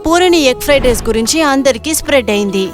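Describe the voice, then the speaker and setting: A woman's voice: high voice, expressive, very fast, over music. A woman reading a scripted story as its narrator.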